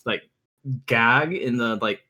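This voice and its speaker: A man with an average-pitched voice chats as an enthusiast guest on a podcast. He speaks haltingly and rambles, keeping things casual and jokey. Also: sharp tone.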